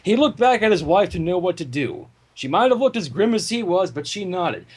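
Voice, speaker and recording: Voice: mid-range voice, big pitch swings, brisk, a little mellow, slightly rough. A narrator reading fan fiction aloud in a dramatic, theatrical storytelling manner. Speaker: narrator and reader.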